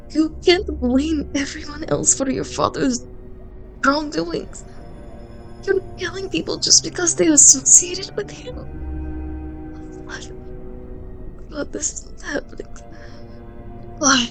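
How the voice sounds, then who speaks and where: Woman acting a distressed detective, high and creaky. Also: pushed and bright, full character voice. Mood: shocked. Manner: halting, breathy stammering, dramatic. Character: distressed woman detective confronting a murderous friend, pleading. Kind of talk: ASMR roleplay acting.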